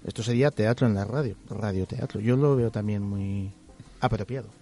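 A man's voice: lowish voice, normal speed, mellow, faint music behind. A man from chatting without a script as a radio presenter. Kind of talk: unscripted radio chat.

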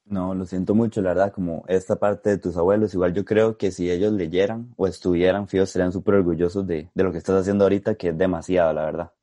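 A man's voice: low voice, fairly even intonation, fast, few pauses, warm tone. A man, interviewing in a casual, conversational way.

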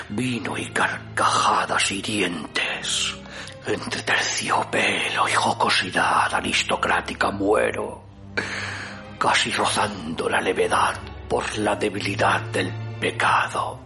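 A man's voice: lowish voice, vocal fry, bright, forceful tone, heavily acted. An actor playing a tormented, half-crazed dying man in a theatrical gothic horror radio monologue. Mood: dying, breathy. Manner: slow, dramatic, anguished declamation. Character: tormented dying man, trapped among decadent bourgeois cannibals, half-crazed.

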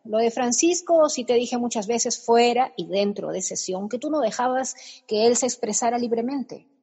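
An actress playing a blunt, sarcastic woman therapist who is also a friend, in a radio comedy, sharp-tongued. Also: on the high side, normal speed, slightly rough, in a large hall. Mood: lecturing.

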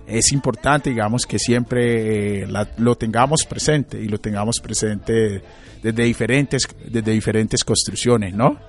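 A Colombian man in his late thirties, a psychologist and radio guest, answering in a thoughtful, halting way with many fillers and restarts. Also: lowish voice, fairly even intonation, faint music behind. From Colombia, Chocó. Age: late 30s. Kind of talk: reflective interview answers.

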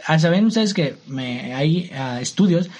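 A young Mexican man chatting loosely with friends, full of slang, jokes and questions tossed back at the others. His voice sits a little higher than most men's. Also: lively intonation, unhurried, muffled recording, crowd noise. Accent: Mexican Spanish. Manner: slangy, joking, lots of tag questions. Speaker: podcast co-host. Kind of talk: casual discussion.

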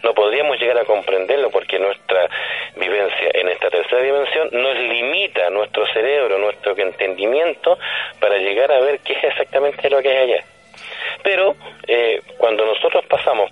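A man with a Chilean accent explaining things conversationally as a radio guest, didactic and halting, often checking that he is being followed. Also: medium pitch, animated. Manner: didactic, halting, frequently seeks confirmation. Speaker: radio guest on paranormal topics. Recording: dull, band-limited phone or radio line.

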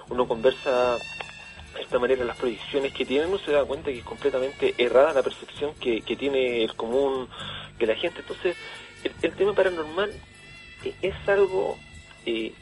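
A Chilean man being interviewed on radio, explaining things conversationally with halting, repeated words. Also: medium pitch. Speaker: interview guest, event organiser. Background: background music.

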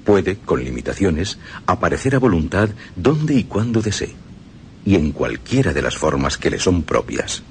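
A man's voice: deep voice, big pitch swings, normal speed, background noise. A man with a Spain Spanish accent reading texts aloud on radio in a solemn, declamatory way. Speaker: reader of quoted texts. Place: radio studio.

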